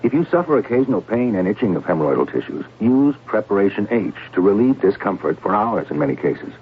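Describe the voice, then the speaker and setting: A male announcer reads a scripted radio commercial in a deep voice. The old broadcast is muffled, with faint hiss. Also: big pitch swings, quick pace. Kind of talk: scripted radio advert read.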